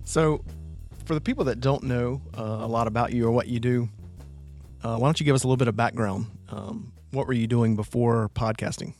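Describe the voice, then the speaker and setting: A man's voice: mid-range voice, fairly quick, darkish tone, soft background music. A man hosting a podcast, asking his guest questions in a conversational style. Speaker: podcast host, interviewer. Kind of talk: conversational interviewing.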